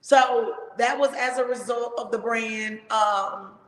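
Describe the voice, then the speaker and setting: A woman hosting a casual talk show, with a big, loud voice and an emphatic, expressive way of talking. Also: fairly high pitch, slow pace. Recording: echoey room with long reverb.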